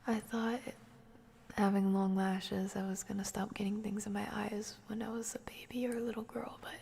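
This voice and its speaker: A woman doing ASMR, whispering and chatting softly in a gentle way. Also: medium pitch, flat delivery, a little fast, vocal fry, slight background noise. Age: adult. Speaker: ASMR streamer. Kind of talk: soft ASMR whispering and chat.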